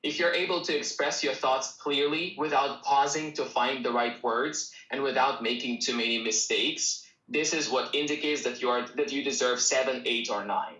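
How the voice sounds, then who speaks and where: A man whose first language is Russian, an English teacher answering students' questions in a relaxed, encouraging live-stream Q&A. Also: medium pitch, normal speed, few pauses, echoey room, highs cut off. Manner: relaxed, encouraging, talks straight to viewers.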